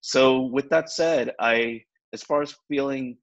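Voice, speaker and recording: A man's voice: mid-range voice, slow, dull audio. A man in his forties, a realtor, telling his story in a casual and reflective way in an interview. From born in India, raised in the US. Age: 40s. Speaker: realtor guest.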